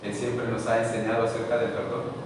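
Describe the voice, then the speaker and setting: A man's voice: fairly low pitch, flat delivery, fairly quick, background noise. Earnest man preaching in an echoey hall. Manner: earnest, preacherly, rising fervor in prayer. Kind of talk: spiritual address and spoken prayer. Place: meeting room. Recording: echoey hall, picked up from far off.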